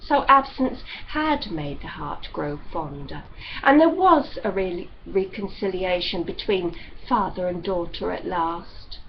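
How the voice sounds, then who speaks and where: Expressive, unhurried woman reading a novel. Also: fairly low pitch. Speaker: audiobook reader. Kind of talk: expressive scripted literary narration. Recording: dull lo-fi audio with light noise.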